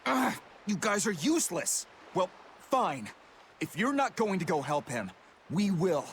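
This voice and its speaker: A man's voice: high voice, sing-song, a little slow, fairly bright tone, a little hiss or hum, full character voice. An actor playing an agitated young pirate crewman in an English game dub, urgent and exclamatory. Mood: urgent, indignant.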